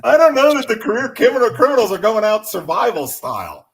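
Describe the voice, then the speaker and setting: A man's voice: high voice, big pitch swings, fast talker. A man co-hosting a podcast, explaining animatedly in casual chat and often restarting his words. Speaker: podcast co-host.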